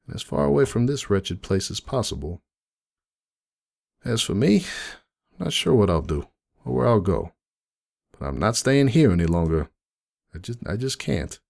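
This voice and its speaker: A man voicing a grieving, kindly cartoon pony cafe owner in an emotional, halting dramatic monologue. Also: mid-range voice, vocal fry, a little mellow, heavily acted. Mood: weary, bitter. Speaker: dramatic reader of fan fiction. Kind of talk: dramatic first-person monologue reading.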